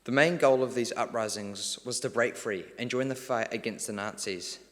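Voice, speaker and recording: A man's voice: medium pitch, moderate pace. A teenage boy from New Zealand reading a prepared formal speech as a student prize winner. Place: large parliament hall, speaking to an event. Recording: long hall reverb.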